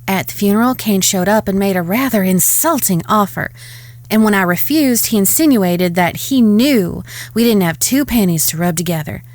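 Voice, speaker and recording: A woman's voice: medium pitch, normal speed, a little mellow, no echo, lightly acted. A woman playing a proud, wronged Old West ranch woman with a Southern American accent in a Western audio drama. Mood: bitter, composed.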